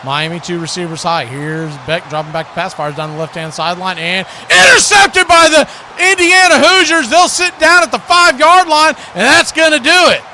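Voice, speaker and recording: A man's voice: very high-pitched, animated, normal speed, background noise. A man calling American football live as a play-by-play commentator. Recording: loud crowd roar and music behind.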